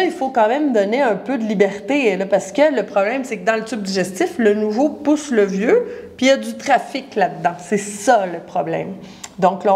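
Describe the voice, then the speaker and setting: A woman's voice: on the high side, a little mellow. A woman in her forties with a Quebec French accent, a naturopath giving a casual live talk and speaking straight to the viewer with everyday comparisons. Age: 40s. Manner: chatty, addresses the viewer directly, explains with everyday comparisons.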